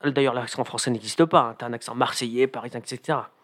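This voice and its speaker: A man's voice: mid-range voice, fairly even intonation, fast talker, a bit bright. A man who writes comedy columns for the radio, chatting in an interview with plenty of hesitations and fillers. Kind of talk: casual radio interview chat.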